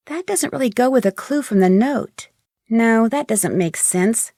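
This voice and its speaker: A woman's voice: on the high side, animated, a little slow, dark tone, no room sound, clean studio sound. An actress in a video game playing a young female amateur sleuth, earnestly thinking aloud. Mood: pondering. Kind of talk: scripted video game voice acting.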